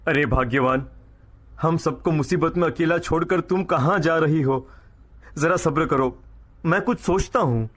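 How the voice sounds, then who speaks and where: A man's voice: on the high side, unhurried, fairly bright tone. Male actor as young family man, patient, reassuring, matter-of-fact, cartoon dialogue. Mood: placating.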